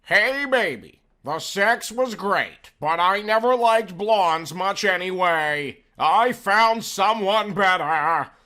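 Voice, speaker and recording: A man voicing a smug, callous rich lover in a comic story, with a drawn-out, crooning delivery. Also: high voice, animated, sharp tone, pitched above the performer's own voice, exaggerated voice. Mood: smug, casual. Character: smug, callous rich lover reading his breakup note. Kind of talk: dramatised audiobook reading.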